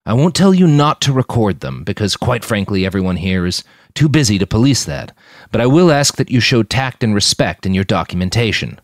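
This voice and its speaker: A man voicing a weary older army major in an audiobook, measured and courteous, kindly with forced good cheer. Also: on the low side, very animated, no room sound, studio quality, voice pushed up. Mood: grave, frank.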